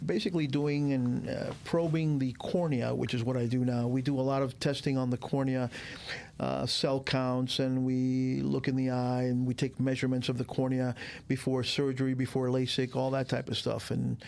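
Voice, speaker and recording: A man in his sixties from Cuba, a guest telling stories in a relaxed, rambling way with many fillers. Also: mid-range voice, gravelly. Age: 60s. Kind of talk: casual interview chat.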